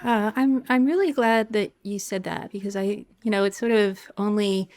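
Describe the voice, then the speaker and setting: A middle-aged woman hosting an interview podcast, who speaks reflectively and often pauses to search for words. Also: medium pitch, steady tone, a little mellow. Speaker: podcast host.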